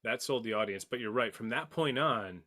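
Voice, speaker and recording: A man with a mid-pitched voice talks as a podcast panelist. His delivery is casual yet emphatic, with dramatic phrasing and repeated words. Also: a little fast, bright, forceful tone. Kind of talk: casual discussion of a TV series.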